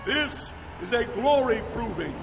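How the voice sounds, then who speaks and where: An actor voicing a proud, formal dwarven proving master who announces in a grandiose, declamatory way for a fantasy game. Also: male voice, very high-pitched, very animated, unhurried, full character voice. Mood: proclaiming. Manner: grandiose, declamatory, deferential to nobility. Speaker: arena announcer. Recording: loud music behind the voice. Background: loud game music.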